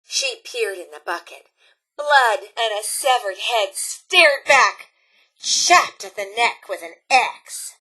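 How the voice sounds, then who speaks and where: Cheery robot scout leader: high, very animated, prim and theatrical, for spooky campfire storytelling in a video game. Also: female voice, husky, exaggerated voice, poor audio.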